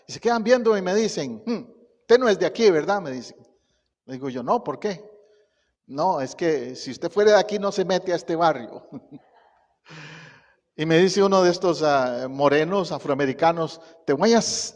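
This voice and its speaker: A man from Costa Rica with a Costa Rican Spanish accent, a pastor preaching a sermon and telling stories in a lively way. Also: high voice, big-room sound. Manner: storytelling, animated, laughs at own anecdotes. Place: church sanctuary.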